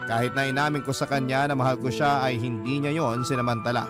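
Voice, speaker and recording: A Filipino man narrates a radio drama in the first person from a script. His voice is mid-pitched, with music clearly playing behind him. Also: fairly even intonation, fast talker.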